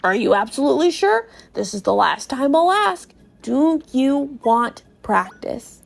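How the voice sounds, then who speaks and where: A woman's voice: high-pitched, big pitch swings, measured pace, vocal fry, heavily acted. A woman voicing a shriveled old male Goomba professor from a video game, explaining things in a fussy, cautious way. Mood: instructive. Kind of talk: video game character read aloud.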